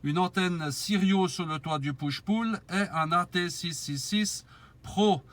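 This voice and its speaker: A man's voice: high voice, slow, fairly bright tone. A man talking as a mobile radio operator, cordially trading call signs and signal reports.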